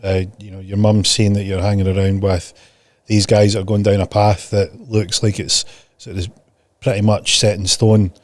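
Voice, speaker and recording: A man's voice: on the low side, flat delivery, moderate pace, dry recording. A man hosting a podcast, introducing and questioning a guest in a conversational interview style. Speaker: podcast host.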